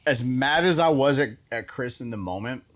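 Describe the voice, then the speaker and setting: A man's voice: mid-range voice, expressive, normal speed, fairly bright tone. A man chatting casually as a show host, telling stories and repeating phrases for emphasis, with some mild swearing. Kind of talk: casual storytelling chat.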